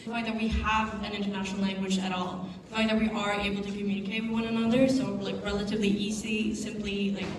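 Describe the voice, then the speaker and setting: A woman's voice: mid-range voice, flat delivery, quick pace, hardly any pauses. A woman student talking in a playful way, with many "like" fillers. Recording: distant, echoey room with noise.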